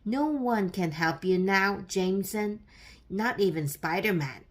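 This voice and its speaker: A menacing male supervillain from a children's superhero storybook, acted out by a woman. The delivery is slow and theatrical, full of threat, at a medium female pitch. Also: lively intonation. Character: booming male supervillain, menacing master of illusion.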